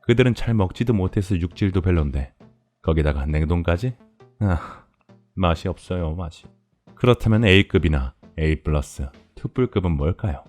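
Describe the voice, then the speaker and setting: A man's voice: low-pitched, expressive, moderate pace, a little mellow. A man voicing a polite, sadistic cannibal farmer in a horror audio drama, explaining things in a courteous, leisurely, gloating way. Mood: smug, relishing. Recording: music behind the voice.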